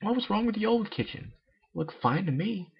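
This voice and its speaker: A man's voice: high-pitched, lively intonation, normal speed, gravelly, husky, muffled recording, pitched above the performer's own voice, exaggerated voice. A man voicing a cocky female cartoon pegasus pony, offhand and impatient. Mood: skeptical.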